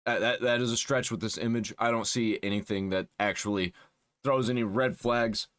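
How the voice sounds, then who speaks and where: A man hosting a reaction video, mocking and swearing, sometimes dropping into a put-on deep voice. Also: steady tone, normal speed, a bit bright, clean tone. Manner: mocking, swings between a squeaky high register and a put-on deep voice; swears freely, reads search results aloud. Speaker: reaction channel host. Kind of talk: casual reaction commentary.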